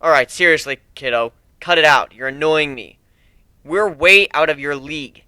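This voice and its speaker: A man's voice: a little high, normal speed, pushed and bright, clean tone, no room sound. A man voicing a cocky, jaded male griffin pirate captain with a lazy, sardonic air of command, in a fantasy story reading. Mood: stern, jaded.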